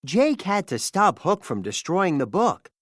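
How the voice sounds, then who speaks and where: A narrator reading a children's storybook aloud in an animated, scripted style. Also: mid-range voice, unhurried, no echo, studio quality.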